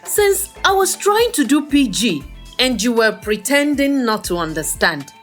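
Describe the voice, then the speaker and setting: Brash young Nigerian woman, meddlesome and teasing, very animated pitch, slow emphatic delivery, audio drama. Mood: teasing, prying. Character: bold, teasing, meddlesome young woman pushing prophet's prayers.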